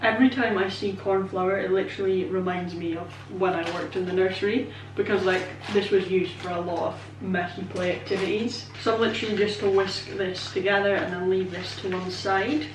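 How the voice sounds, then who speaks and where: A woman's voice: medium pitch, steady tone, a little fast, hardly any pauses, distant mic, background noise. Chatty young Scottish woman in an echoey kitchen. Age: 20s. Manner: chatty, rambling, self-deprecating, many "um" and "like" fillers. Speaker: vlogger. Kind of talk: casual baking vlog chat. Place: home kitchen. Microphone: camera set up away from her.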